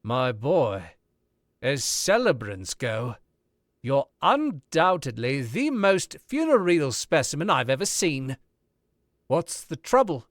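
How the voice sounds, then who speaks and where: A man's voice: mid-range voice, sing-song, slow pace, no room sound. An actor playing a witty, urbane male author in an old-time radio drama, teasing in a dry, knowing way. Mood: probing.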